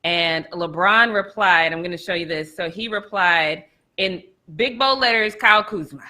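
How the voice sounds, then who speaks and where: A woman's voice: on the low side, moderate pace, bright, forceful tone. A woman co-hosting a live sports talk show, chatting playfully and laughing often. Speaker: co-host. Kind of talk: casual sports chat.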